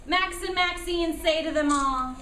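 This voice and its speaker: Really high-pitched lady telling a story to little kids, unhurried, calling out directions, echoey noisy room. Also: far from the mic. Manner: animated, encouraging, directing children. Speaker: puppet show narrator and teacher. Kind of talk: live storytelling to young children. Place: indoor room at a school show.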